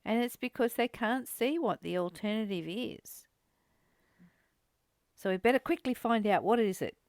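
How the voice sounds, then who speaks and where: A woman's voice: a little high, moderate pace, a little mellow, no room sound, clean studio sound. A woman leading a casual, reflective spiritual discussion in a conversational way, repeating phrases for emphasis. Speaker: spiritual study host.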